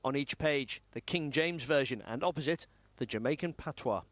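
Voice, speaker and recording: A man reporting a news story in a measured, formal broadcast style. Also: mid-range voice, fairly bright tone, slight background noise.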